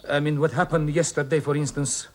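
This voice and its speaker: A man's voice: on the high side, level tone, brisk, lightly acted. A man playing a genial, evasive businessman. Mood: rambling. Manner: placating, rambling, with frequent "eh?" tags. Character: genial, evasive middle-aged businessman neighbor. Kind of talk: radio drama acting.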